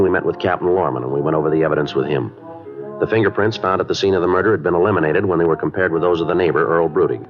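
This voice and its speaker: An actor playing a terse, businesslike police detective in a 1950s radio drama, speaking in a deadpan, clipped, matter-of-fact way. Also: male voice, sits low. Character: terse, businesslike male police detective, narrating his cases. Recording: dull old radio recording.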